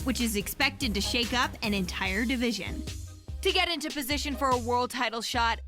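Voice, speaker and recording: A woman's voice: high voice, pushed and bright. A woman presenting a scripted sports news update. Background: faint music bed.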